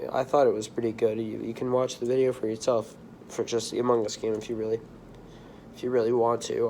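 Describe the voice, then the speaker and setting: A teenage boy talking casually to camera, drifting from topic to topic with many restarts. He has the sniffles and his voice is slightly hoarse. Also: medium pitch, a little mellow, a little hiss or hum. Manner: rambling, drifting between topics, frequent restarts. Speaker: video creator. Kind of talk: casual rambling monologue.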